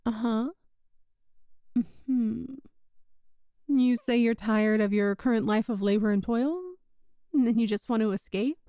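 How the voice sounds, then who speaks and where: A woman's voice: fairly high pitch, fairly even intonation, a little slow, pauses often, dark tone, clear, resonant tone, no echo, clean studio sound, close to an everyday voice. A woman playing a sweet, possessive young witch shopkeeper in an ASMR roleplay, with a coy, doting, teasing manner. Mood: tender, coaxing. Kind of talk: ASMR romantic roleplay audio.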